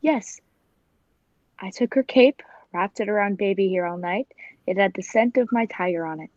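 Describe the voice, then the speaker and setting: A woman's voice: medium pitch, normal speed, darkish tone, lo-fi sound, natural voice. A woman playing a cold, menacing, murderous circus tiger trainer in a 1940s style radio play. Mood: coldly menacing.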